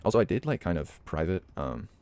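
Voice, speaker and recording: Low, gravelly young man rambling over gameplay. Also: very animated, brisk, darkish tone, no room sound. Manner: rambling, hesitant, many fillers and restarts. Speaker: hobby voice actor and gaming vlogger.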